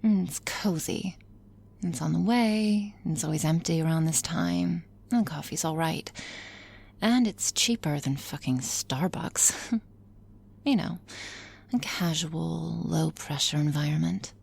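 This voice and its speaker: A woman playing a teasing, cynical, flirtatious boss in an intimate ASMR roleplay, sardonic and often slipping into breathy whispers. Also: low voice, slow pace, a little mellow, heavily acted. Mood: teasing, caring.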